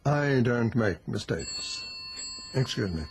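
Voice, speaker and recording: A man's voice: mid-range voice, animated, slow pace, over music. An actor playing a self-assured, wealthy company chairman in a radio drama, speaking with urbane authority. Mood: imperious.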